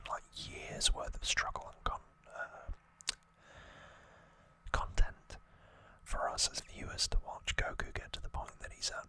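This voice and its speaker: ASMR host, fully whispered, breathy and bright, explaining things in a hushed conversational way. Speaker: ASMR host recapping an anime series.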